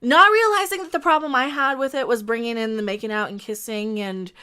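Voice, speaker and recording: Bright, high-voiced woman chatting on stream. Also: a little fast, smooth. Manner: rambling and blunt, with frequent restarts and asides to chat. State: sniffly. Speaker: online commentator hosting a live stream. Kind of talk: casual live-stream chat.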